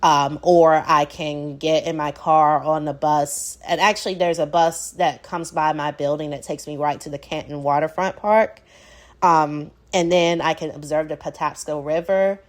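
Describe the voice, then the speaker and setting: A woman's voice: low-pitched, fairly even intonation, unhurried. An American woman with a Southern accent hosting her own podcast, talking casually and reflectively with many fillers. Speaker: podcast host. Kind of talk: solo podcast monologue.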